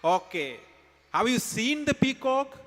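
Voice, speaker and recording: A man's voice: very high-pitched, sing-song, slow pace, a bit bright, in a large hall. A man with an Indian English accent giving a morning assembly speech to students, telling a story emphatically and quizzing them. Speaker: school speaker at an assembly. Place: large school hall.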